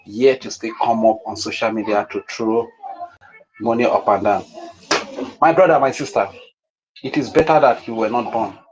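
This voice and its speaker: A man with a Nigerian accent giving an impassioned political address, speaking in a deliberate, emphatic, repetitive way with rhetorical questions. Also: fairly low pitch, a little mellow, lo-fi sound.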